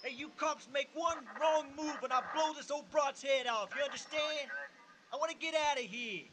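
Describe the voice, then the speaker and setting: A man's voice: very high-pitched, normal speed, noisy background. A snarling gunman. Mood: menacing. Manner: snarling, boastful. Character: gruff out-of-state male gunman, brash and taunting. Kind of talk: old-time radio comedy acting.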